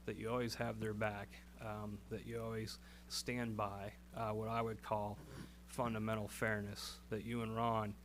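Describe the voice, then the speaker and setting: A man with a low voice that stays flat in tone, making council remarks. He talks in an earnest, folksy way and pauses on fillers. A faint hum sits under the recording. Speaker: council member.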